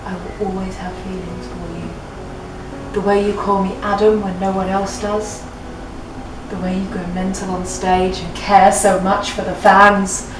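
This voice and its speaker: A woman's voice: mid-range voice, level tone, measured pace, background noise. Woman reading aloud in an echoey room. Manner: theatrical, giggly, playfully hammy. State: drunk. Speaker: reader of fan fiction for a comedy web show. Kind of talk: jokey dramatic story reading. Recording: echoey room, distant mic, music underneath.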